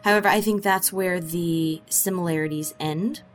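Guest: lowish woman's voice, rambling and chatty, faint music under. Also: steady tone, moderate pace. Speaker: voice actress, interview guest. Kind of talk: casual interview answers.

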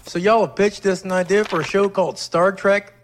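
A man's voice: sits high, steady tone, a little fast, a little hiss or hum, lightly acted. A man playing an eager, dopey, cheeky member of an audio crew in a comedy skit.

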